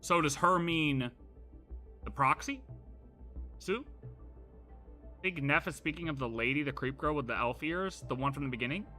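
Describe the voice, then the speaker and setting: A man giving casual live playthrough commentary, thinking out loud in a rambling, speculative way with repeated half-phrases. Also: a little high, moderate pace, long pauses, faint music behind. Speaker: game streamer.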